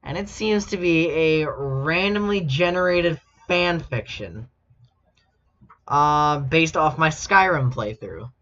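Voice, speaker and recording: A man's voice: a little high, expressive, takes it slowly, a bit bright. A man streaming casually and reading fan fiction aloud in a mock-dramatic, amused and incredulous way. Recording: dull lo-fi mic with music behind. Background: background music.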